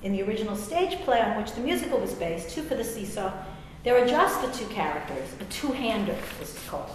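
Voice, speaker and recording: A woman's voice: medium pitch, sing-song, a little fast. A middle-aged woman with an American accent reading a personal essay aloud, with wry, self-deprecating, conversational asides. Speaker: author and English professor. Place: large reverberant room at a live reading. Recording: very echoey hall, faint background noise.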